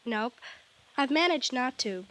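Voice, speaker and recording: Sassy high-voiced young woman. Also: expressive, a little slow, fairly bright tone, low-quality recording. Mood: sassy, wry. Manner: flip, wry, slangy. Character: sassy, cynical, quick-witted young granddaughter. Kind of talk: 1950s radio drama acting. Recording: 1950s radio broadcast recording.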